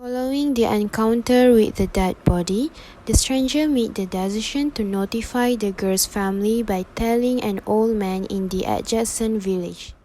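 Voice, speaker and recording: A woman's voice: on the high side, normal speed, mellow, a little hiss or hum, lightly acted, rough recording. A woman playing the solemn narrator of a tragic play, reading scripted narration. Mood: serious.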